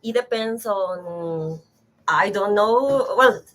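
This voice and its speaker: A woman's voice: mid-range voice, slow, fairly bright tone, rough recording. Woman with a hesitant manner. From Mexico. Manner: hesitant, restarts phrases while searching for words. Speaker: guest. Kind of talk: casual conversation.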